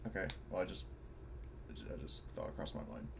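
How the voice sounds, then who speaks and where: A man's voice: very deep voice, lively intonation, quick pace, gravelly, background noise. A man playing a tabletop game, chatting casually and often cutting in to work out the rules.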